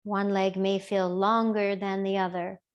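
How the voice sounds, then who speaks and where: Slow, gentle woman guiding meditation. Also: medium pitch, little pitch movement, smooth, muffled recording. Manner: gentle, unhurried, soothing, with long pauses. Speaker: yoga and body awareness teacher. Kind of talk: guided body scan meditation.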